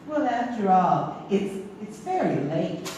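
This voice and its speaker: A woman's voice: mid-range voice, sing-song, takes it slowly, dark tone, a little hiss or hum. A woman playing a kindly, fluttery elderly aunt in a stage comedy, polite and hesitant. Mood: sweet, polite. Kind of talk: theatrical stage acting. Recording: echoey reverberant theatre, far from mic.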